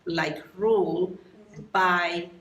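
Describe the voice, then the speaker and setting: A woman's voice: on the low side, steady tone, very slow, a bit bright. A woman from Puerto Rico with a Puerto Rican accent, answering interview questions earnestly and searching for words at times. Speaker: workshop attendee, doctoral student. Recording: echoey room with faint noise.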